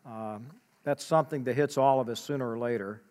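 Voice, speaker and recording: A man leading a church service as pastor, praying and preaching in a measured way with frequent hesitant pauses. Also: mid-range voice, steady tone, darkish tone. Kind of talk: church announcement, prayer and sermon. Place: church sanctuary. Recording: long church hall reverb.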